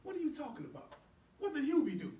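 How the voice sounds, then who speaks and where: Stage drama: hot-tempered protective older brother, played by a man, high and swooping delivery, colloquial and punchy, roomy distant mic. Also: huge pitch swings, fast talker, warm tone, full character voice. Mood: outraged. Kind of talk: stage play skit acting.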